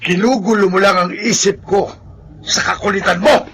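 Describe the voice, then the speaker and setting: A man's voice: high-pitched, fairly even intonation, moderate pace, pushed and bright, slight background noise. A man with a Filipino accent playing a secretive, irritable man on a grim night mission, urgent and emphatic in a horror radio drama. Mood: irritated, evasive.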